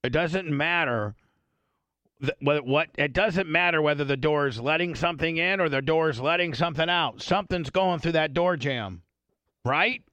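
A man's voice: on the high side, moderate pace, bright, forceful tone, no echo. A man hosting a talk show, bantering crudely in an insistent, foul-mouthed way. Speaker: radio show host.

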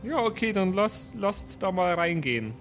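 A male player chats his way through an improvised role-play. His voice sits a bit high for a man, and his delivery is casual. Faint music runs underneath. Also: big pitch swings, normal speed, fairly bright tone. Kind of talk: casual improvised in-character chat. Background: faint background music.

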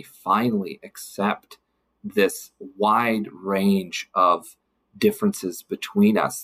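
Low, slightly husky man, thoughtful guest. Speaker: podcast guest, city council member. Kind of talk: thoughtful podcast discussion.

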